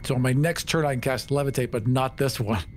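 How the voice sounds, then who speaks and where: A man's voice: medium pitch, brisk, soft background music. A man playing a tabletop role-playing game, describing his moves casually in a wry, playfully argumentative way. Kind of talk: casual in-character play and banter.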